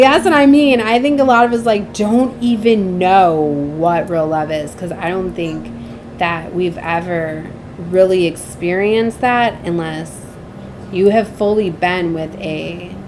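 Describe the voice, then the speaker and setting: A woman's voice: medium pitch, slight background noise. A woman giving a casual, rambling spiritual tarot reading, informal and chatty, often trailing off and checking in with the listener. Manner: frequently seeks agreement with tag questions. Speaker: tarot reader and spiritual channel host.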